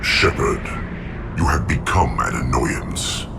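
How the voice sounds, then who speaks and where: An actor voicing an ancient, menacing alien machine overlord in a video game, imperious, ominous and coldly contemptuous. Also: male voice, very deep voice, expressive, slow, gravelly, music in the background, heavily acted. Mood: cold contempt. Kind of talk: video game villain monologue.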